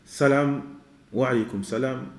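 A man teaching a religious lesson in a measured way, repeating his advice and mixing in Arabic phrases. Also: medium pitch. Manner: mixes in Arabic phrases and recitation. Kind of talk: religious lesson with questions and answers. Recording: echoey room.

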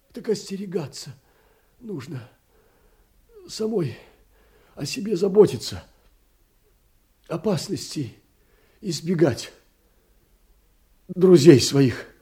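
Humble middle-aged clerk, doting and sentimental, very animated intonation, soft-edged husky tone, literary audio play, music behind. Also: male voice, sits high, full character voice. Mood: anxious pleading. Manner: fussing, endearments and diminutives, sentimental. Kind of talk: Russian stage drama, literary monologue.

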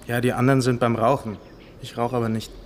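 An actor playing a timid, evasive young police guard in a radio drama, with a Bavarian accent and a plain, hesitant manner. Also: male voice, mid-range voice, little pitch movement, fast, close to an everyday voice. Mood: startled, defensive. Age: young adult. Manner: plain-spoken, hesitant, defensive. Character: timid 21-year-old male police guard, evasive, dutiful. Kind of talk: naturalistic radio drama dialogue. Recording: clearly noisy ambient background.

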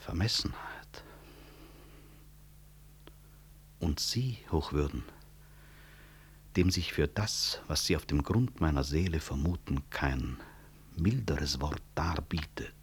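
Proud physician, very deep voice, slow measured delivery, formal, gravely ironic, 1960s radio play. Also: male voice, exaggerated voice. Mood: wounded, pointed. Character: proud, composed male physician and professor, unbelieving, earnest.

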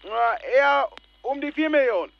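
An actor playing a self-assured, formal and insistent Nigerian scam official in a radio play, speaking over the telephone. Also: male voice, extremely high pitch, animated, moderate pace, gravelly, heavily acted. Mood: brusque, assured. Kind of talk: radio play acting, formal phone talk. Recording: dull, noisy telephone line.

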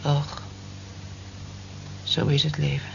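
An actress in a radio play as a lonely, gentle working-class housewife in her forties, speaking in a soft, confiding, reflective way. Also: sits low, completely flat delivery, takes it slowly, creaky, slight background noise, heavily acted. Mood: gentle, resigned. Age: 40s. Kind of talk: naturalistic radio play acting, intimate monologue. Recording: muffled old radio drama recording.

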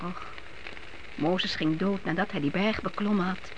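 A woman's voice: on the low side, fairly even intonation, a little slow, muffled recording, natural voice. An actress playing a devout middle-aged mother of grown sons from biblical times in a radio play, earnest and motherly. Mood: earnest, reflective. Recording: noisy old radio play recording.

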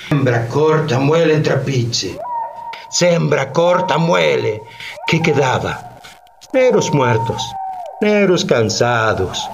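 Weary bitter old plantation slave, broken rustic talk, really slow, swoops up and down, noisy background ambience, radio play. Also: male voice, on the high side, animated, creaky, exaggerated voice. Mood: weary, lamenting. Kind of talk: radio drama testimony.

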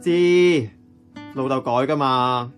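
A man playing an eager, impatient young nephew in a radio drama, animated and colloquial, with a Hong Kong accent. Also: mid-range voice, slow, close to an everyday voice. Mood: frustrated, pleading. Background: plucked guitar music.

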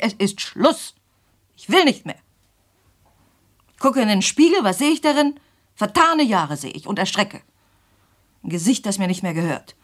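A middle-aged mother in a radio drama, genteel and easily wounded. Her pitch swings widely and her voice has a slightly husky edge. She speaks theatrically, shifting between coy hesitation and agitation. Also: a bit bright, full character voice. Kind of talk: German radio play acting.

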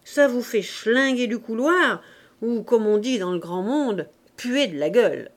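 A woman as a cocky street boy in a storybook reading, loud, slangy and bossy, slow-paced. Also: a little high, animated. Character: cheeky streetwise Paris urchin boy.

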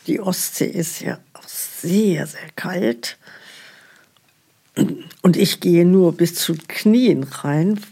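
An actress playing a hesitant, gullible elderly care home resident in a naturalistic radio drama, with a husky, creaky voice. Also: female voice, fairly low pitch, mellow, full character voice. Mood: doubtful, puzzled. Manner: slow, hesitant, naively questioning.

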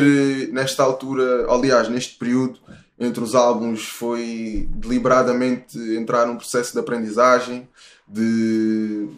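A man's voice: medium pitch, roomy sound. A thirty-year-old man with a European Portuguese accent, a musician talking casually and at length in an interview, with many restarts and fillers. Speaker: musician guest.

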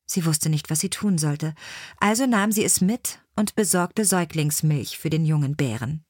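A woman's voice: low voice, normal speed, darkish tone, no room sound, studio quality. A woman reading scripted biographical stories as an audiobook narrator.

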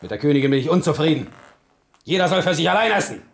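An actor playing an irate, tyrannical old king who barks commands and broods in low mutters, in a 1970s radio drama. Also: animated, fast. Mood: commanding.

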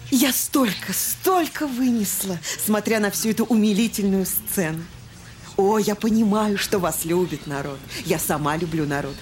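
An actress playing an effusive, sentimental society lady in a Russian audio drama, with a halting, melodramatic delivery. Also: medium pitch, darkish tone, a little hiss or hum, lightly acted. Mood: rapturous.

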